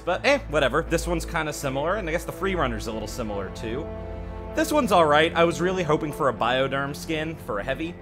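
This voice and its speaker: Animated man doing casual game commentary. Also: mid-range voice. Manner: conversational, wry asides. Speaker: gaming channel host.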